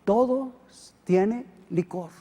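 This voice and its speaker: Costa rican pastor guy preaching, high gravelly voice, big church reverb. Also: very slow. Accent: Costa Rican Spanish. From Costa Rica. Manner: swings from loud emphatic peaks to quiet low asides. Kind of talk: sermon. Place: church hall. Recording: long hall reverb.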